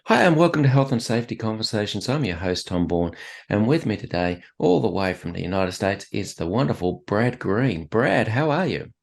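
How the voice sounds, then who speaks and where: Host: man, lowish gravelly voice, upbeat interviewer. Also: very animated, normal speed, slight background noise. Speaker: podcast host. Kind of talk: casual interview hosting.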